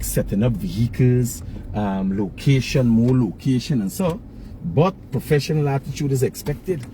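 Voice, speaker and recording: A Guyanese man talks casually as a live-stream commentator, with an average-pitched voice. He is colloquial and opinionated, often repeating phrases to drive a point home. He is recorded inside a car on the road, with clear traffic and vehicle noise around the voice. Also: dark tone, rough recording.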